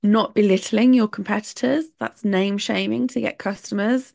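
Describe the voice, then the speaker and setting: Woman with a gravelly, sore-throated voice. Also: medium pitch, steady tone, moderate pace, darkish tone, no room sound, clean studio sound. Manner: chatty, opinionated, laughs between points. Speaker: podcast host. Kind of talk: solo podcast monologue.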